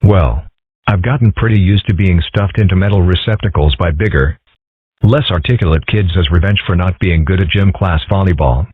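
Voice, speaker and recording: A serene, wry cartoon fox schoolboy, played by a man, with a notably low and husky voice. He stays calm and deadpan. The recording is thin and tinny, like a phone line. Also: normal speed, no echo. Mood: resigned. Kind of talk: comic strip dub acting. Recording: tinny phone-like band-limited audio.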